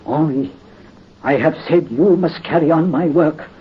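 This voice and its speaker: An actor playing a dying French count consumed by vengeance, weak yet commanding. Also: male voice, a little high, unhurried, lightly acted. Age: 50s. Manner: weak but commanding, imperious. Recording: dull, faintly hissy 1930s radio recording.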